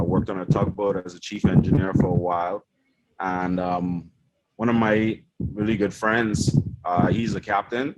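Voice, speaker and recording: Deep-voiced man from the Bahamas. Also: heavy background noise. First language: German. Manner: relaxed, rambling with many ums and you-knows. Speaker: chief engineer on a yacht. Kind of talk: casual interview answers.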